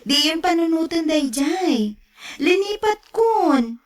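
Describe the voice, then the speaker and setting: A kind, generous young daughter-in-law in a radio drama. Her high voice is a little far from the mic, with an Ilocano accent. She speaks slowly and reassuringly. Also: expressive, heavily acted.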